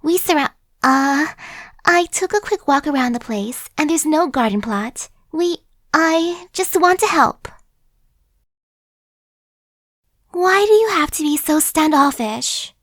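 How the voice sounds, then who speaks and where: A woman's voice: high voice, lively intonation, unhurried, fairly bright tone, no room sound, clean studio sound. Woman playing a sly chatty zombie girl. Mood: coaxing. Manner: coaxing, persuasive, casual chatter. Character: chatty friendly female zombie, coaxing and sly. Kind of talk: ASMR roleplay.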